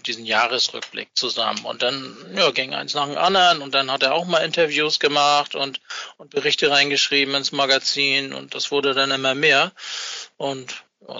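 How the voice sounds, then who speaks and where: A middle-aged man chatting casually as an interview guest, speaking haltingly and often searching for his words. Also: mid-range voice, vocal fry, sharp tone, no room sound, lo-fi sound. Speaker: interview guest, fan magazine maker.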